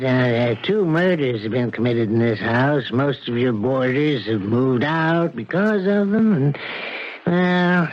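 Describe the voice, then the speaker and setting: An actor playing a shrewd, hedging businessman who speaks formally and hesitantly, in a 1970s radio mystery style. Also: a little high. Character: hedging, shrewd businessman boss making lowball offer. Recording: muffled 1970s radio recording.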